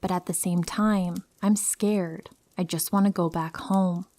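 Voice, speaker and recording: A woman narrating true horror stories in a calm, steady first-person reading. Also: fairly low pitch, unhurried.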